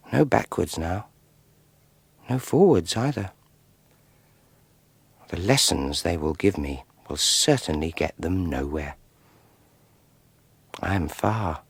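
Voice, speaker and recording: An actor playing a solemn, disdainful scientist regressed to boyhood in a radio drama, musing aloud in a halting, brooding manner. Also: male voice, on the low side, gravelly, breathy edge, no echo, heavily acted.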